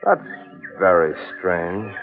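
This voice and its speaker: An actor playing a feverish, obsessed expedition leader with an intense, dramatic manner in a 1940s radio drama. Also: male voice, low-pitched, fairly even intonation, slow pace, soft background music. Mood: hushed fascination. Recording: tinny old radio broadcast.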